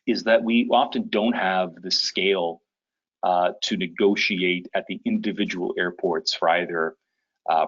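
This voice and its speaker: A man hosting a business conference call, giving an informal briefing in a conversational, somewhat halting way. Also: low-pitched, lo-fi sound. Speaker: conference call host, association leader. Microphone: conference call connection.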